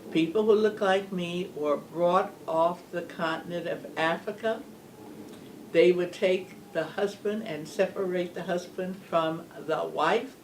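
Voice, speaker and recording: An older woman speaking as an interview guest, measured and emphatic, often asking rhetorical questions. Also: fairly low pitch. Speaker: guest, former legislator. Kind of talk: earnest interview talk. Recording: distant mic with faint background hum.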